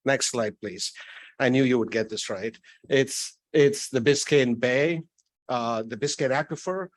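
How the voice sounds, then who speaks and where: A man from Tanzania presents at a conference in an easygoing way, joking and restarting his sentences. His voice sits in a middle pitch. The sound is close and dry. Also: unhurried, dry recording. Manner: conversational with light jokes and restarts. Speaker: conference speaker. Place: conference hall.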